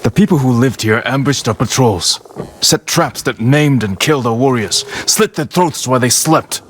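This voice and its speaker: A man playing a stern, brooding samurai in a video game, speaking in terse, measured, formal phrases. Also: mid-range voice, slight background noise, natural voice. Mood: stern, regretful. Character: stern, brooding samurai warrior, grave and resolute. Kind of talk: dramatic video game cutscene acting. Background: game music.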